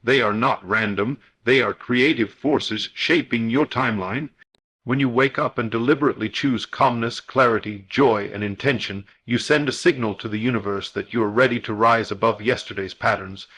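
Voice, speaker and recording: A man delivering a scripted motivational guided meditation in a solemn, deliberate, oratorical manner. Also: mid-range voice, a bit bright, low-quality recording.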